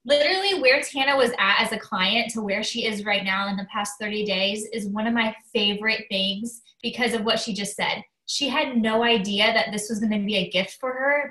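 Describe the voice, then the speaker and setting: A woman's voice: a little high, normal speed, few pauses, pushed and bright, roomy sound, low-quality recording. Slightly nasal woman with a cold. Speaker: coach. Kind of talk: casual training talk.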